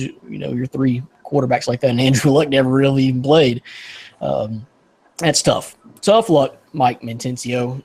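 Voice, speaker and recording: A man with a husky voice hosting a casual sports podcast in a joking, teasing manner. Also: medium pitch, a little mellow. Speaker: podcast host.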